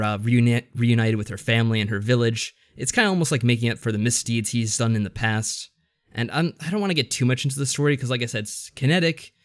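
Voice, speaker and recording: A man co-hosting a podcast, giving a casual review in a rambling, slightly hesitant explanatory way. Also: medium pitch. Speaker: podcast co-host.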